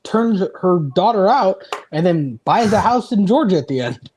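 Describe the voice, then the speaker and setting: A woman with a very lively, swinging intonation banters casually as a podcast co-host. Her delivery is wry and teasing. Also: medium pitch, normal speed, a little hiss or hum.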